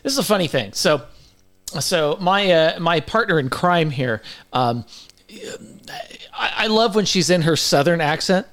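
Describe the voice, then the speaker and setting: A man co-hosting a casual podcast, talking in a halting, stammering way and often repeating his words. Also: on the high side, lively intonation, fairly bright tone. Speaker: podcast co-host. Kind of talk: casual chat.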